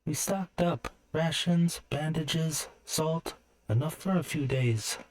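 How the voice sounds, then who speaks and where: A man's voice: on the high side, takes it slowly, darkish tone, voice pushed up. A man voicing a young woman adventurer, light and matter-of-fact, in a fantasy story. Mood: practical.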